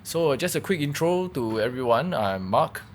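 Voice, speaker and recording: A man presents technical material at a conference workshop. His pitch sits in a middle range for a man. He explains step by step, somewhat haltingly, often repeating a word before going on. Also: lively intonation, a little hiss or hum. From Singapore. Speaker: workshop presenter, malware researcher.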